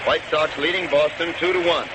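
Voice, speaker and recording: A man calling baseball play-by-play in a measured, matter-of-fact way, as a 1930s radio commentator on an old broadcast recording. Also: a little high. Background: loud steady background noise.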